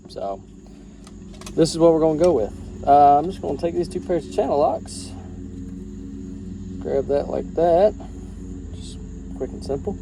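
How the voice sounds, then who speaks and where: A man's voice: on the high side, animated, a little slow, gravelly, pauses often. A man chatting casually through a DIY truck job outdoors, talking in a rambling, folksy way and restarting his phrases as he works, recorded on a handheld camera. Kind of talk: casual how-to vlog. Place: outdoors beside a truck, crickets around. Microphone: handheld camera, no tripod. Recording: noisy outdoor recording with crickets.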